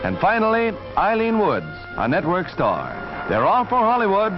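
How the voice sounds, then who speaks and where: A man's voice: high-pitched, wildly swooping pitch, normal speed, music in the background. An American man reading scripted 1940s newsreel narration in a declamatory announcer style. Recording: dull 1940s newsreel soundtrack.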